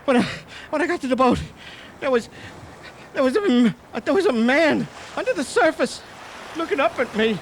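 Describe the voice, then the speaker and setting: An actor playing a frightened lighthouse keeper in a radio drama, halting and stammering when afraid. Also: male voice, extremely high pitch, animated. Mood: shaken. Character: frightened male lighthouse keeper, anxious and insistent. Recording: noisy cassette radio drama with sound effects.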